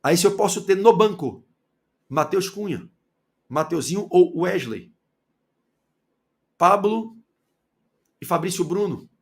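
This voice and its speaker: A man from Salvador with a Bahian accent giving an opinionated football commentary monologue, firing rhetorical questions and swinging between loud and low. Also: expressive, takes it slowly, long pauses. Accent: Bahian Portuguese. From Salvador, Bahia, Brazil.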